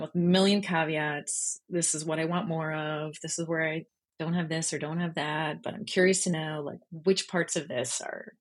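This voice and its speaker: Woman, low husky voice. Interview guest, thoughtful and candid, conversational delivery. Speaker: interview guest, freelance journalist and writer. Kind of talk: casual reflective interview chat.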